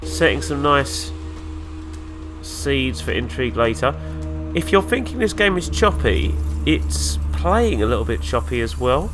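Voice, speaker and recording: A man's voice: medium pitch, big pitch swings, measured pace, over music. A man with a nasal, flu-stuffed voice, giving casual let's-play commentary with dry, sarcastic asides. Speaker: gaming YouTuber.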